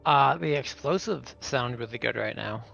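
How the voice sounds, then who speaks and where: A man's voice: medium pitch, moderate pace, vocal fry, a bit bright. A man playing a tabletop game, talking casually and tentatively as he thinks aloud, on dull audio.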